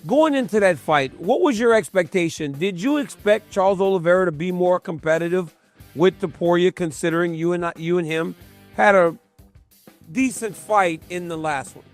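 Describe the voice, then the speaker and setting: High-pitched male interview host. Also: faint music behind. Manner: conversational, quick follow-up prompts. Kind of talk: casual interview questions.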